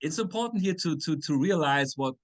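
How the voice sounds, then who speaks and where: A man's voice: a little high, lively intonation, fairly quick. Man explaining on a call. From Germany. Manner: explanatory, frequent "right?" and "uh". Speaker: chemistry professor, guest. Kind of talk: webinar interview answers.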